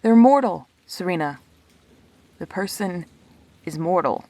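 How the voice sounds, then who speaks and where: A woman's voice: lowish voice, expressive, unhurried, mellow. A woman playing a guarded, defensive, brooding immortal in an intimate sci-fi audio drama, terse and hesitant. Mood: bitter, despairing.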